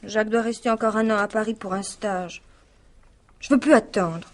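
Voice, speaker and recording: An actress playing a dreamy, affectionate nineteen-year-old niece in a French radio mystery, speaking with earnest, tender eagerness. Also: female voice, medium pitch, fairly even intonation, moderate pace, close to an everyday voice. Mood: pleading, earnest. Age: young adult.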